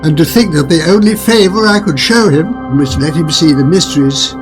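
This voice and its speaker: An actor playing a suave, cryptic restaurant owner in a radio horror drama, speaking with formal and ominous courtesy. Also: male voice, fairly high pitch, animated, brisk, music in the background, close to an everyday voice. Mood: courtly, mysterious.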